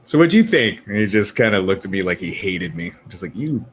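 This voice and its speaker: A man's voice: lowish voice, big pitch swings, fairly quick, fairly bright tone, slight background noise. A man chatting casually as a panelist on a gaming show, telling stories and ranting about films in a sweary, jokey way. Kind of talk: casual chat, animated film rant.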